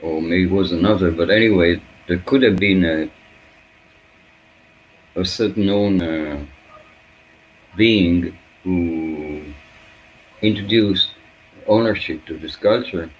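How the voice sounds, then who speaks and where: A man, a Hebrew speaker, giving an unscripted reflective spiritual talk in halting, fragmented phrases with searching pauses. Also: low voice, dull audio, slight background noise.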